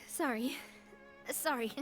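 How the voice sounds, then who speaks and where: A woman playing a gentle, sincere young lady boss in a video game, expressive and earnest. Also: high-pitched, extremely slow. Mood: wistful, earnest. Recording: game music behind the voice.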